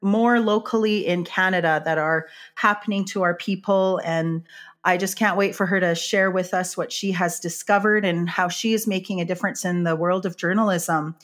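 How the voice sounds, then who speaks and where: A woman hosting a podcast, warmly introducing and questioning her guest. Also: lowish voice, steady tone, normal speed. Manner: warm, effusive introductions. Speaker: podcast host. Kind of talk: interview hosting.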